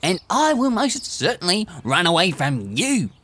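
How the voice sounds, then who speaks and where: A woman's voice: fairly low pitch, sing-song, measured pace, fairly bright tone, exaggerated voice. A woman voicing a cheeky, boastful little gingerbread boy in a children's audio story, with a sing-song, taunting delivery.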